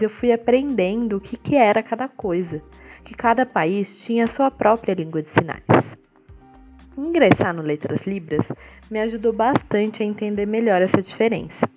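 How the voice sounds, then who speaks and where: Woman voicing interview answers, calm and steady, mid pitch, soft music under. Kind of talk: interview answers voiced in translation.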